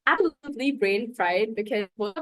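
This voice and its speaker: Young woman, native Urdu speaker, podcast host; bright-pitched, chatty, laughs a lot. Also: lively intonation, normal speed, dry recording. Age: young adult. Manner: chatty, laughs often, many filler likes. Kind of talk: casual podcast chat.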